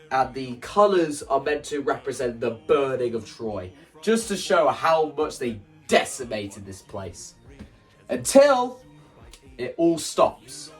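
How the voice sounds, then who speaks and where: A man gives a casual, animated walkthrough of staging ideas. He sounds some distance from the microphone, and music plays underneath as he talks. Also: mid-range voice. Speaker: video creator explaining stage play ideas. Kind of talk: casual spoken walkthrough to camera.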